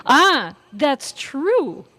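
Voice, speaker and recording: A woman's voice: high voice, big pitch swings, drawn-out words, pushed and bright. A woman chatting casually as a podcast panelist, with a playful, prodding way of talking. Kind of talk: casual chat.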